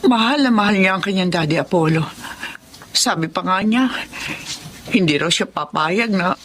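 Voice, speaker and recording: A woman acting a gentle, anxious mother in her forties in a Filipino drama, pleading and hesitant. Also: mid-range voice, moderate pace, a little hiss or hum, close to an everyday voice.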